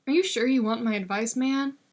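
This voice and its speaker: A woman's voice: on the high side, fairly quick, a little mellow, natural voice. A woman voicing a laid-back, kind young male student in a story, speaking in a casual, blunt, slangy way. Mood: kind, solemn.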